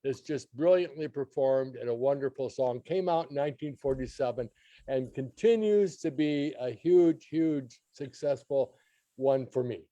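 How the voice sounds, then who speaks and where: A man's voice: mid-range voice, unhurried, dark tone, no room sound, muffled recording. A man giving opinions as a regular guest reviewer in casual, conversational radio chat.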